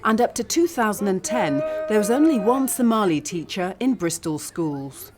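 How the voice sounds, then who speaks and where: Lively-voiced female reporter. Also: mid-range voice, normal speed, faint music behind. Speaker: reporter and interviewer. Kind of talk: documentary narration and interview questions.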